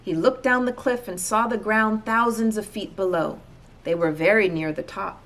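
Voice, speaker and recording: A woman reading a children's storybook aloud as an expressive narrator. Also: medium pitch, moderate pace. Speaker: storybook reader.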